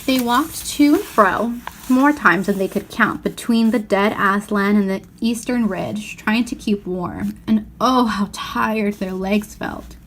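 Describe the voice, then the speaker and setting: A woman reading a children's novel aloud as expressive narration. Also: medium pitch, slow, a little hiss or hum, rough recording. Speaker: storybook reader.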